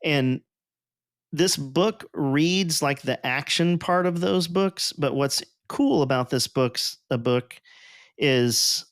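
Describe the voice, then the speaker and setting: A man's voice: on the high side, slow pace, dry recording, clean studio sound. A man hosting a podcast, talking in a casual, profane and rambling way. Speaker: podcast host.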